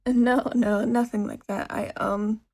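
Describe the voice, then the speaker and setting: A woman's voice: medium pitch, fairly even intonation, slow, a little mellow. A woman voicing a shy, nervous teenage boy who speaks politely and hesitantly, with small stammers. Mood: flustered.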